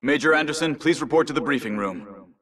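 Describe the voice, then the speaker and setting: A man playing a brisk, businesslike warship announcer in a video game, giving formal orders to troops. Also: medium pitch, expressive, fairly bright tone, shouting, lightly acted. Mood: brisk, official. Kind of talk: military public address announcements. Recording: distant voice over busy crowd noise.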